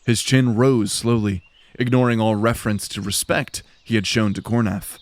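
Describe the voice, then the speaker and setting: Guy narrating a fantasy story, lowish voice, dramatic read, very dry close sound. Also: steady tone, normal speed, no echo.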